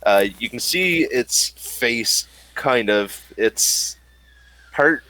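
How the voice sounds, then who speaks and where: A man narrating as game master of a tabletop game, describing scenes in halting bursts with many fillers. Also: mid-range voice, lively intonation, sharp tone, low-quality recording.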